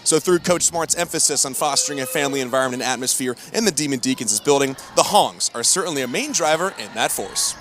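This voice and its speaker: A young man working as a sideline reporter, giving brisk, informative updates. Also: mid-range voice, animated. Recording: loud music behind the voice.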